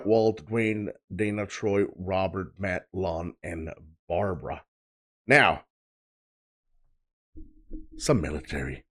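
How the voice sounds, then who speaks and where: A young Swedish man hosting a reaction video on a desk mic. His voice sits low and he chats loosely, piling up fillers and the same stock phrases as he goes. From Sweden. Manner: chatty, loose, filler-heavy, repeats "of course". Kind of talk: casual reaction commentary.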